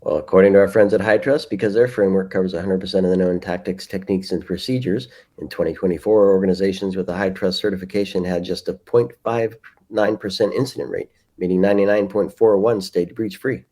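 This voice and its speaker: A man with a low, mellow voice delivers a podcast ad. He talks quickly, in a scripted but conversational way. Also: fairly even intonation. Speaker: podcast presenter reading a sponsor spot.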